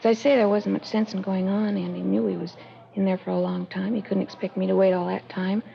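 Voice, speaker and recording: A woman's voice: medium pitch, level tone, a little fast, gravelly. An actress playing a defensive, evasive young American woman in a radio crime drama, speaking in a guarded, insistent way. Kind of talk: 1940s-50s radio drama acting. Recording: muffled, noisy vintage radio recording.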